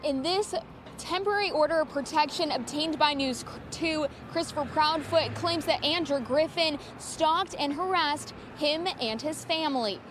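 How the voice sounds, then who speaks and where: Female TV reporter, high voice, scripted field report, slight outdoor traffic noise. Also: normal speed. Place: outdoors outside a courthouse.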